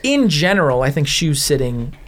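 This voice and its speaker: Podcast panelist, medium-pitched voice, casual and informal, emphatic about opinions. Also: very animated, normal speed. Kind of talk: casual chat.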